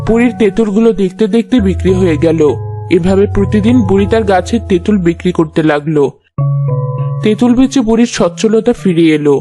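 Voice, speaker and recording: Female narrator, mid-pitched, scripted children's storytelling, light music underneath. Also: a little fast.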